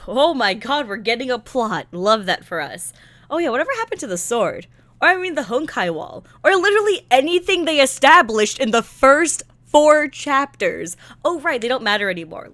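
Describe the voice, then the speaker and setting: A woman's voice: on the high side, fairly bright tone, no room sound. A woman giving a casual, slangy recap commentary as a content creator, with sarcastic, exasperated asides and theatrical emphasis. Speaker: content creator reviewing a visual novel.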